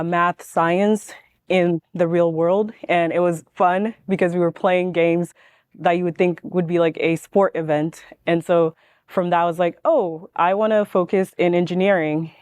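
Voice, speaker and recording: A woman's voice: fairly low pitch, fairly even intonation, a little slow, a little mellow, clean tone, no room sound. A young adult woman, introducing herself informally as a panelist and engineer. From born in Ghana, grew up in Washington state, US.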